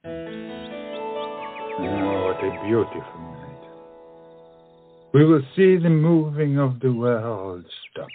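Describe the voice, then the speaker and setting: A man's voice: medium pitch, very animated, takes it slowly, pauses often, narrow, tinny audio, music in the background. An actor playing a proud, witty, self-conscious French swordsman-poet in a comic audio play, with florid, dramatic phrasing. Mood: dreamy, poetic. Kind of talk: theatrical comedy audio-play acting.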